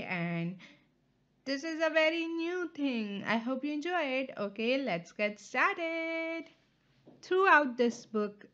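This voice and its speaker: A woman's voice: fairly high pitch, fairly bright tone, lo-fi sound. A woman hosting a storybook read-aloud, explaining the story and speaking directly to her listeners. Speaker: host of a read-aloud channel.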